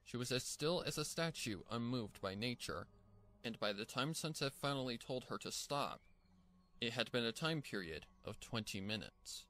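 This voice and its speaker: A man's voice: mid-range voice, lively intonation, moderate pace, sharp tone. A man narrating a scripted story as its narrator.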